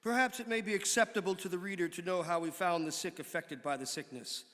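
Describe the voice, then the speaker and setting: A man giving a measured, formal dramatic reading of an old historical text. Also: high voice, a bit bright. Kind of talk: dramatic reading of an 18th-century pamphlet. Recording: reverberant hall.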